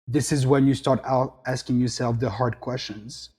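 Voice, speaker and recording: A man's voice: medium pitch, fairly even intonation, normal speed, darkish tone, slightly rough, long reverb tail. A middle-aged man from the south of France with a French accent, speaking reflectively as an interview guest over a video call. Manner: reflective, gesturing hands while talking. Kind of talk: interview conversation. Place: home room.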